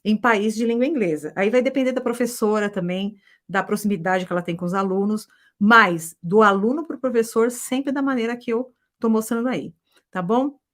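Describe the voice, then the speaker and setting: A woman in her forties from Brazil, teaching a live class with a lively manner, often ending phrases with a quick check and having students repeat after her. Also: mid-range voice. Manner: lively, ends phrases with a checking tag, drills repetition. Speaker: English teacher. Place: abroad in Europe.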